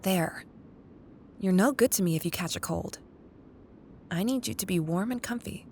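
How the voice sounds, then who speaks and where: A woman playing a caring, wistful young childhood best friend in an intimate, gently teasing ASMR roleplay. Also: natural voice. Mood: fussing, caring. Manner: tender, unhurried, teasing. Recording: audible low rumbling background noise.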